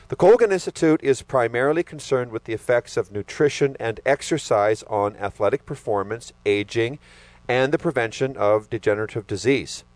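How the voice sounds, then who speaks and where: A man's voice: medium pitch, a little mellow, no room sound. A man hosting an interview, asking questions in an upbeat, jokey way. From Canada. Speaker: host.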